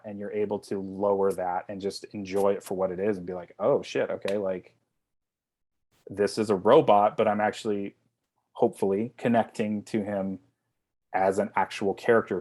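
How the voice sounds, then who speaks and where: A man's voice: on the low side, darkish tone. Informal, emphatic man chatting on a panel. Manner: informal, sweary, emphatic, says "like" often. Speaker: writer-director. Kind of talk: casual panel Q&A chat. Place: remote video call.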